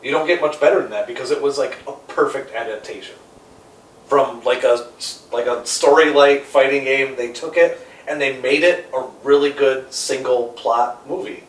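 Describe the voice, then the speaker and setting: A man in a casual, opinionated group debate, speaking in a halting, repetitive way with some swearing. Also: mid-range voice. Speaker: panelist on a film ranking show. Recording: echoey room, distant mic, faint noise.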